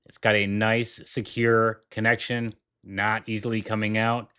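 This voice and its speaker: A man's voice: on the low side, flat delivery, a little slow, sharp tone, clean tone. A man hosting a tech video, walking through a product demo with enthusiasm and repeating his points for emphasis. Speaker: tech reviewer.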